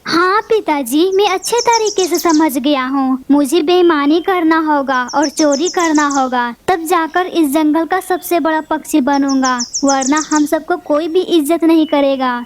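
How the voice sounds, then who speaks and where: A woman's voice: high-pitched, a little hiss or hum. A woman voicing a young male bird in a cartoon, an eager and obedient son. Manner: eager, agreeable.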